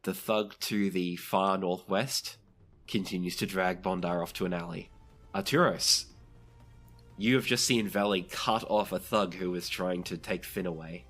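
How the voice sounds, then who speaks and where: A man's voice: low-pitched, steady tone, measured pace, a bit bright, soft background music. A man acting as game master, narrating the action and refereeing the rules of a tabletop roleplaying game.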